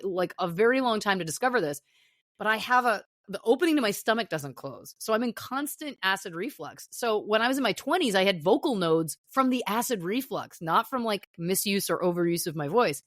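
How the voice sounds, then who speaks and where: A woman's voice: mid-range voice, lively intonation, fairly quick, no room sound, studio quality. A middle-aged woman chatting as a podcast guest, telling self-deprecating stories in a loose, rambling way. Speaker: podcast guest, actor and musician. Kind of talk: casual interview chat.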